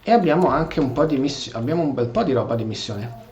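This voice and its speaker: A man's voice: mid-range voice, lively intonation, quick pace, darkish tone. An Italian man giving casual gameplay commentary as a streamer, often stumbling over and repeating his words. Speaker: gaming streamer. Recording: dull, echoey room recording.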